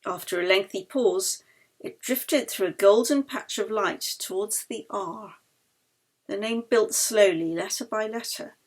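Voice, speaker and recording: A woman author reading her own novel aloud in a measured, expressive way. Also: mid-range voice. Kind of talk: audiobook narration.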